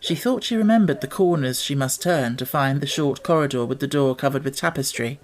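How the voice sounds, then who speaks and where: A man reading an audiobook as narrator, telling the story in a measured, expressive way. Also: mid-range voice.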